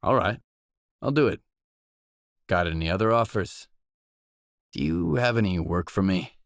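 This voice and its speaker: Low-voiced, terse wasteland mercenary. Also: male voice, lively intonation, unhurried, dry recording, studio quality. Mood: noncommittal. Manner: terse, matter-of-fact. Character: blunt, self-reliant young male mercenary stalker in a wasteland. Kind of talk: video game dialogue lines.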